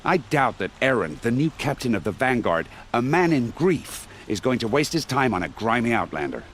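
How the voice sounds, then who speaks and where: An actor playing a haughty, officious city gate guard in a video game, pompous and formal. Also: male voice, mid-range voice, sing-song, moderate pace, fairly bright tone, a little hiss or hum. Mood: officious, scornful.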